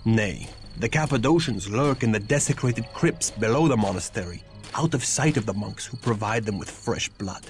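A man's voice: lowish voice, expressive, normal speed, natural voice. Grave stern vampire warrior guy male actor, old timey solemn speech, video game, bit of music behind. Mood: solemn counsel. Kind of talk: theatrical video game acting.

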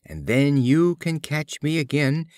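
A man's voice: on the high side, big pitch swings, a little slow, darkish tone, no echo, clean studio sound. A man voicing a small fish in a storybook fable, humbly pleading for its life.

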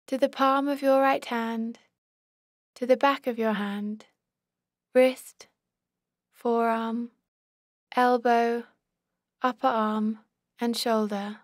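A woman leading a guided body scan meditation as an instructor, calm and measured, giving short, gentle-paced instructions. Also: on the high side, no room sound, clean studio sound.

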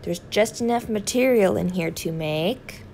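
Thoughtful old storybook grandfather, played by a woman, kindly, deliberate, soft-toned tailor. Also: medium pitch, slight background noise, natural voice. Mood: musing.

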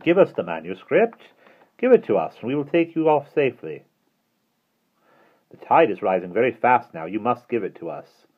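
A man's voice: medium pitch, very animated, moderate pace, slightly rough, narrow, tinny audio, pitched above the performer's own voice. A man playing a smug, mocking villain in an audiobook, with a light, sneering voice. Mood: demanding. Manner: sneering, taunting. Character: sneering, mocking male villain, smugly patient.

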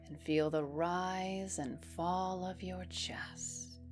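A woman in her thirties speaking as a hypnotherapist in a soothing ASMR guided hypnosis, soft and breathy, often slipping into a whisper, close to the mic. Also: on the low side. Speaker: hypnotherapist, host. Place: small dim indoor room, close up. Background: soft music.

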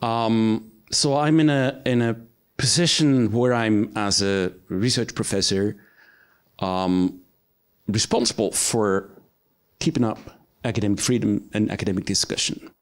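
A man's voice: lowish voice, steady tone, a little slow, clear, resonant tone. A middle-aged man from Switzerland, a research professor, telling a personal story to an audience in a crowded cafe room on a handheld mic, earnest and emphatic with some hesitation. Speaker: research professor speaking at an event.

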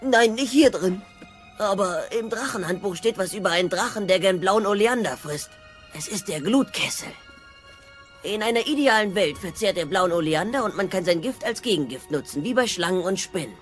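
A man's voice: sits high, expressive, normal speed, a bit bright, soft background music. A man playing a bookish, anxious teenage Viking boy, pedantic and precise, in a cartoon audio drama. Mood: earnest explaining. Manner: precise, nerdy, reciting.

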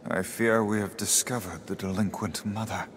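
A man's voice: fairly low pitch, fairly even intonation, moderate pace, a little hiss or hum, natural voice. A man voicing a pompous, sardonic talking magical book in a video game, with formal, archaic diction. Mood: grave, sardonic. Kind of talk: dramatic game voice acting.

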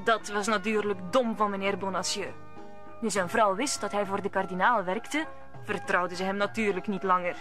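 A woman's voice: mid-range voice, steady tone, normal speed, fairly bright tone. A woman narrator telling the story of a radio play in scripted storytelling style. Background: music.

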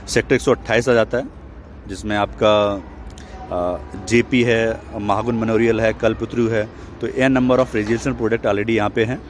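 A man with a voice at an ordinary pitch, walking outdoors through a building site while he pitches a property. He explains steadily and drops English terms into his sentences. A faint hum of traffic runs behind him. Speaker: real estate agent.

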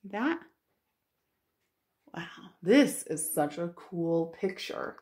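A woman in her thirties, a children's librarian, reads a picture book aloud and chats to young listeners with expressive wonder and drawn-out emphasis. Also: fairly low pitch. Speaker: children's librarian hosting storytime. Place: living room at home, indoors.

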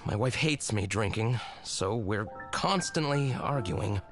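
An actor playing a sheepish, tipsy tavern regular in a video game, hesitant and halting. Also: male voice, on the low side, animated, music in the background. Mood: sheepish, muddled.

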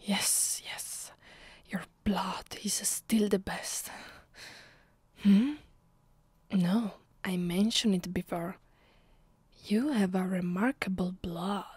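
A woman with an Italian accent voicing a haughty, sadistic vampire mistress in a close-miked ASMR roleplay, soft and breathy, often dropping to a whisper, commanding and deliberate. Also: medium pitch, no room sound. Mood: savoring, imperious. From Italy. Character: haughty, sadistic female vampire mistress savoring her power. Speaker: voice actress. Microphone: close microphone.

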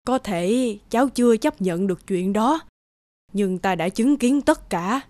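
A woman playing a solemn elderly woman painter in a cartoon drama, with a measured, grave delivery. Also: medium pitch, expressive, a little mellow, no echo, natural voice. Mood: grave, disapproving. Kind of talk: dubbed cartoon drama acting.

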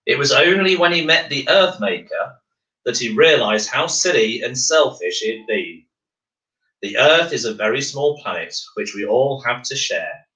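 A man narrating a children's storybook in a measured, expressive way. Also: medium pitch. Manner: measured, expressive, clearly phrased. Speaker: bedtime story reader. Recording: roomy, echoing room.

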